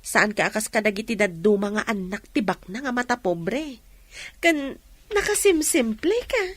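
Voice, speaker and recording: A woman's voice: on the high side, lively intonation, unhurried, a bit bright, no room sound. An actress playing a caring young woman, a loyal best friend with an Ilocano accent, coaxing and chiding expressively in a radio drama. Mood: concerned, admiring.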